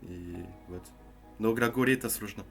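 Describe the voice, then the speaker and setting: A man's voice: on the low side, fairly bright tone, soft background music. A man, speaking a learned language hesitantly over a video call. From France. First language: French. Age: 20s. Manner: halting, hesitant with many fillers.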